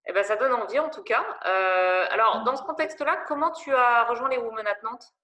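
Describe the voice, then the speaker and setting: A woman's voice: fairly high pitch, a little fast, pushed and bright. Hesitant woman interviewer. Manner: hesitant, many fillers and restarts. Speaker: interviewer for a women's network. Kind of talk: interview questions.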